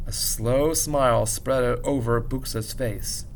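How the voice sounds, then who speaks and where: A man reading a story aloud as a teacher would, in an expressive, measured narration with dramatic pauses. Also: mid-range voice, gravelly, slight background noise.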